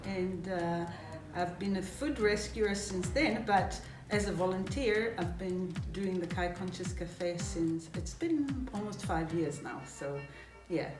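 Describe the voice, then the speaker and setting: Lady in her 40s, relaxed and thoughtful, lots of little pauses, sounds kinda far off. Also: lowish voice. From Israel. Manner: relaxed, thoughtful, frequent hesitations. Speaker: food rescuer. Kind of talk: documentary interview. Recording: roomy, distant mic with music.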